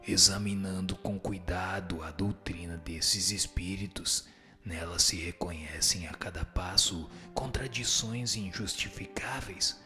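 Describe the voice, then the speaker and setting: Man voicing a deep, slow, solemn spirit teacher. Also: steady tone, bright, forceful tone, soft background music, full character voice. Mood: grave admonishing. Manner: grave, measured, formal and sententious. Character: solemn, stern, wise male spirit teacher answering questions. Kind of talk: scripted radio drama, didactic pronouncements.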